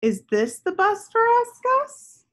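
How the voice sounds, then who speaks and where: A woman voicing an eager, excited little girl in a picture book, asking in a sing-song way. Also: very high voice, slow pace, pitched above the performer's own voice, full character voice. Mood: eager, hopeful. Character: eager little girl waiting for the school bus.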